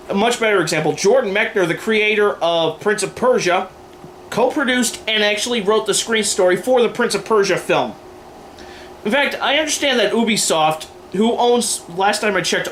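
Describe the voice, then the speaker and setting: A man's voice: high voice, a bit bright. A man delivering opinionated commentary in an emphatic, sardonic way with crude humour, as in a homemade video. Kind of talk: opinionated scripted-style commentary with ad-libs. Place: indoors, in front of a running TV. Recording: clear background noise, home camera.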